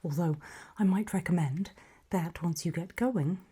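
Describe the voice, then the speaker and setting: A woman playing a calm, faintly sinister official in a whispered ASMR roleplay briefing, breathy, measured and politely ominous. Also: lowish voice. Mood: soothing.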